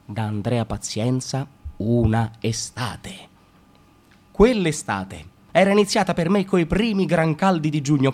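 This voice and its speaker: A man performing a radio theatre reading. He swings from sarcastic loud outbursts to low mutters. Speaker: stage reader.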